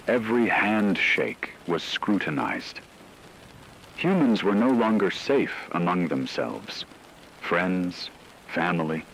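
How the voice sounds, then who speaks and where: Deep-voiced solemn male narrator. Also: creaky, a bit bright, a little hiss or hum, low-quality recording. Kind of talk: scripted apocalyptic story narration.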